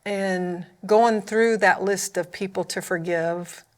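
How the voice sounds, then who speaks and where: A woman's voice: medium pitch, steady tone, takes it slowly. A woman with an Oklahoma accent, a ministry founder, sharing her personal story as a podcast guest in an earnest, candid way. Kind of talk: personal testimony in a panel chat.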